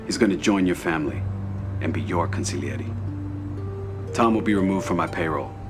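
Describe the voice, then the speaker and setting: An actor playing a middle-aged American mafia boss in a game cutscene, cold and commanding, speaking in measured, terse phrases. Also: male voice, low voice, over music, natural voice. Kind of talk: video game cutscene acting.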